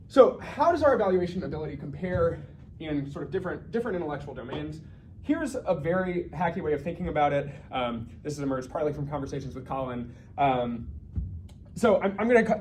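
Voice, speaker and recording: A man with a slightly high-pitched voice delivers an informal research lecture at a quick clip. He is conversational and thinks aloud, correcting himself and adding asides as he goes. There is faint noise behind him. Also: a little mellow. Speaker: researcher giving a talk.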